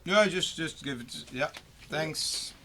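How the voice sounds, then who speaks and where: A man's voice: fairly high pitch, lively intonation, takes it slowly, bright, forceful tone, slight background noise. A man co-hosting a livestream, speaking in short, casual remarks. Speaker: co-host handling the stream. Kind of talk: casual chat.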